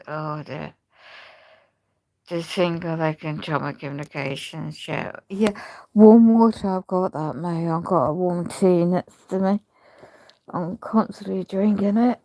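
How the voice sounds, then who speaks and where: A woman chatting casually on a livestream, talking in a halting, rambling way while she loses her voice. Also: on the low side, warm tone, poor audio. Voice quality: gravelly. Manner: rambling, halting speech with many restarts. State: losing her voice. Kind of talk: casual livestream chat with viewers.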